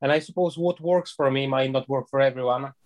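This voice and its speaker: A man speaks as a guest on a reflective panel. His voice sits at a middle pitch, and he talks in a thoughtful, hesitant way, stopping often to search for the right words. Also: brisk, a little mellow. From Poland. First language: Polish. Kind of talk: reflective discussion.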